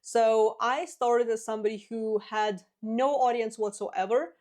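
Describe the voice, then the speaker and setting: A woman's voice: a little high, steady tone, measured pace, darkish tone. Confident woman with a Russian first language. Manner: direct, practical, confident. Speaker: business podcast host. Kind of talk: solo business advice talk.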